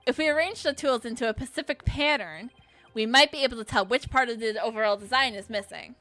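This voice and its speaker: A woman's voice: a little high, fairly quick, bright, forceful tone, faint music behind. A woman reading the lines of an earnest young male defense attorney from a courtroom game, explaining his case step by step. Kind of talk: reading game dialogue aloud.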